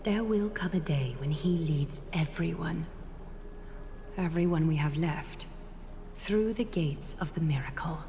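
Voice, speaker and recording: Calm, lowish, dignified woman leader, reverberant. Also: slight background noise. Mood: grave, composed. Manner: measured, grave, deliberate phrasing. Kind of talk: English video game voice acting. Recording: long reverb, clear music behind.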